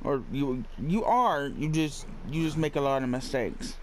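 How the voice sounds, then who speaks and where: Guy doing chill lets play commentary, slow short remarks to himself, swears a bit, faint game ambience. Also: mid-range voice, lively intonation, slight background noise, rough recording. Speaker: gamer, playthrough host. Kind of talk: casual gameplay commentary.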